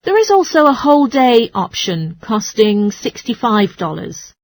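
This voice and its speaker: A woman's voice: fairly high pitch, very animated, a little slow, no room sound. A woman playing a polite, helpful transport information clerk who explains things in a scripted exercise dialogue. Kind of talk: scripted listening-test dialogue.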